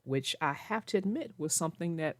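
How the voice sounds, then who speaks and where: A woman narrating a children's book aloud and commenting on it, with expressive, emphatic, deliberate phrasing. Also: low voice, no room sound, studio quality. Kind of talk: expressive storybook read-aloud with informal commentary.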